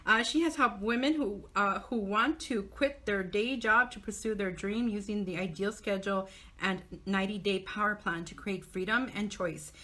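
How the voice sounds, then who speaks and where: A woman's voice: mid-range voice, normal speed, sharp tone. A woman hosting a casual live online interview show, talking in an upbeat, encouraging way. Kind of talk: casual live interview, reading out a guest bio.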